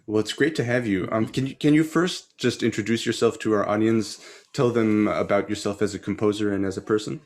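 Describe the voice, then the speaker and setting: Vriendelijke interviewer met lage stem. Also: male voice, lowish voice, fairly quick. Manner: friendly, polite. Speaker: interviewer, bassoonist. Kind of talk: webinar interview. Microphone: video call.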